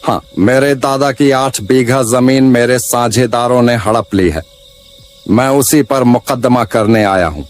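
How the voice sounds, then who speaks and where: A man's voice: mid-range voice, unhurried, soft background music, lightly acted. A man voicing a proud, earnest stranger from afar in a cartoon story, with a polite, formal manner. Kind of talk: acted dialogue in a story cartoon.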